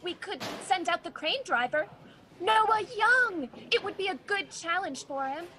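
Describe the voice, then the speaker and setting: A woman's voice: extremely high pitch, lively intonation, unhurried, sharp tone, exaggerated voice. A teenage girl playing an earnest, dutiful railway control operator in a school radio play. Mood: grave, helpful.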